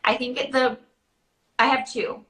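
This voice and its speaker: A woman's voice: high-pitched, steady tone, unhurried, a bit bright. A woman answering questions in a casual, informal chat, peppering her hesitant phrasing with "like". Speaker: guest answering questions about character voices. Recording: roomy, echoey sound.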